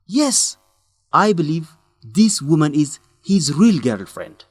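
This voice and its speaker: An actor playing an earnest male journalist in a radio drama, speaking expressively in simple, deliberate sentences. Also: on the high side, very animated, breathy edge, no room sound, faint music behind, exaggerated voice. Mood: concerned. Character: earnest young male journalist breaking bad news to a friend. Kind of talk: English-learning radio drama acting.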